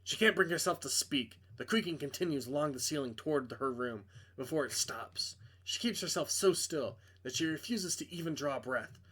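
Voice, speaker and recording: Male player-narrator for a visual novel: dramatic reading, slightly high voice, animated intonation. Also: moderate pace, slightly rough. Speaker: video game player and narrator.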